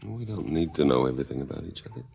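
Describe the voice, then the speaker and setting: An actor playing a cynical, world-weary former scientist in a seventies radio drama, speaking in laconic, resigned lines. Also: male voice, a bass voice, expressive, very fast, gravelly, a little mellow, breathy edge, lo-fi sound, exaggerated voice. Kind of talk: 1970s radio drama acting, terse dialogue.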